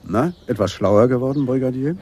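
A man acts an older, heavyset detective in a radio drama. His voice is rough-edged and mellow at a medium pitch. He speaks drily, with a slightly ornate turn of phrase. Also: fairly quick, close to an everyday voice. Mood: musing. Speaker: actor in a radio play. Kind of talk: German radio drama dialogue.

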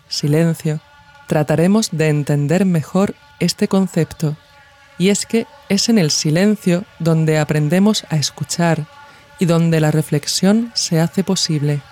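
A woman from narrates a scripted, reflective audio essay in a measured, formal way, as a podcast host. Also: low voice, a little mellow, soft background music.

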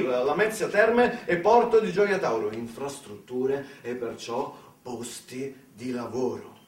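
Echoey, higher-voiced Italian man telling ironic stories. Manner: ironic, colloquial, rhetorical questions and asides. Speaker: storyteller in a one-man theatre monologue. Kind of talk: satirical narrative theatre monologue, conversational. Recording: echoey room, distant microphone.

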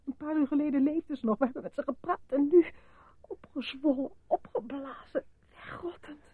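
An actress playing a frightened, questioning woman with an anxious, hushed manner in a Dutch radio play. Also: high-pitched, normal speed, no room sound, natural voice. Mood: shocked, hushed. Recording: muffled phone-like old radio recording.

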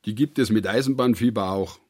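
Radio drama role: male actor as a detached, plain-spoken man, slightly low and rough-edged. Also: fairly quick, a little mellow, dry recording, studio quality, close to an everyday voice.

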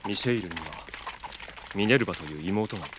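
A man playing a cold, stoic swordsman in an audio drama, speaking in grave, formal, deliberate phrases. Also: sits low, animated, background noise. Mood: grave, foreboding. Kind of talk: Japanese audio drama acting.

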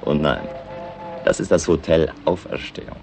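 An actor playing a wry, cynical local tavern regular in a German radio play, ironic and knowing. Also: male voice, extremely low pitch, moderate pace, gravelly, music in the background. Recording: 1960s radio play with crowd behind.

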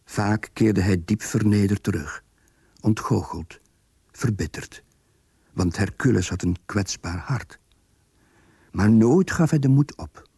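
An actor narrating a radio drama as a measured, literary storyteller. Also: male voice, on the low side, vocal fry, mellow, breathy edge, dry recording, exaggerated voice.

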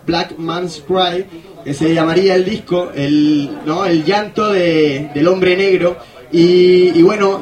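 A man with a distinctly high voice hosts a radio show. He introduces music casually, using loose, colloquial phrasing as if chatting with friends. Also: darkish tone. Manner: informal, colloquial.

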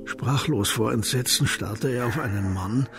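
An actor narrating a detective radio drama in a composed, scripted storytelling style. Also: male voice, mid-range voice, a little slow, music in the background, natural voice.

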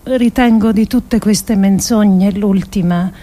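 An actress playing an earnest, resolute woman politician who delivers a solemn theatrical speech with measured, emphatic phrasing. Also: medium pitch, warm tone. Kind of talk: theatrical monologue, solemn oratory.

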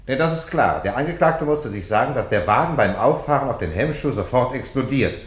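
A man playing an emphatic defense lawyer, pleading rhetorically and deliberately in a 1950s radio play. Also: mid-range voice, lively intonation, natural voice. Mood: earnest pleading. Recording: 1950s radio recording, echoey courtroom.

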